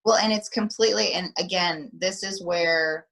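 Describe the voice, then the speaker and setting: A woman athlete guest in a casual interview over a video call, animated and repeating herself when excited. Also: fairly low pitch, moderate pace, vocal fry.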